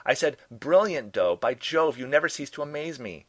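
A man voicing an excitable, fawning, old-fashioned sidekick who calls out in exclamations for a comic detective story. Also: fairly high pitch, normal speed, no room sound, pitched above the performer's own voice. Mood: gushing, shouting. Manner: exclamatory, calling out.

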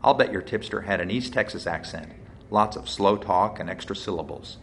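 A man's voice: on the low side, moderate pace, fairly bright tone, slight background noise. A man voicing a wry, sarcastic male amateur sleuth under suspicion, as a character in an audiobook. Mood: sarcastic, defiant.

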